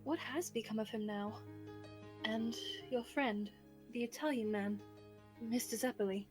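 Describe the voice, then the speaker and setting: A woman voicing a devoted, worried newlywed wife, refined and formal, speaking gently and in a measured way in an audio roleplay. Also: fairly high pitch, music in the background, lightly acted. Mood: pleading.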